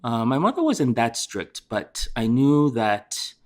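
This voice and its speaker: A middle-aged chef from Korea, answering interview questions in a hesitant way with many fillers and restarts. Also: male voice, mid-range voice, sing-song, slow pace.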